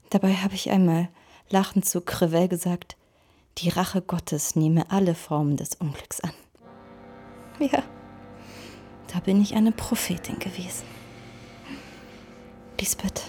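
An actress playing a dying, remorseful, God-fearing woman in a radio drama, weak and solemn, her breathy voice often falling to a whisper. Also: lowish voice, dark tone.

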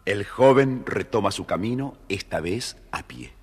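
A man's voice: lowish voice, lively intonation, unhurried, slightly rough, big-room sound. A man acting as a chatty, theatrical children's storybook narrator with an Argentine accent. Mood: storytelling.

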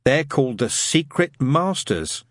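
A man's voice: medium pitch, sing-song, a little slow, fairly bright tone, no room sound, studio quality, voice pushed up. A man voicing a bossy, imaginative boy from a storybook, lofty, emphatic and insistent. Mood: lofty, confident. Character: bossy, know-it-all boy, imaginative gang leader.

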